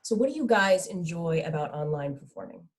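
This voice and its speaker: A woman with a slightly low voice hosts an online panel discussion. She speaks as the moderator, putting questions to her guests. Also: sing-song, brisk, a little mellow. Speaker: moderator of a theatre talkback. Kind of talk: hosted panel discussion over video call.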